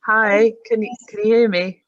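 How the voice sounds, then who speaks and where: A woman's voice: medium pitch, fairly even intonation, normal speed, no room sound. Surrey-accented woman on a dry, dull call. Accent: Surrey English. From England. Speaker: audience member asking a question. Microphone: video call.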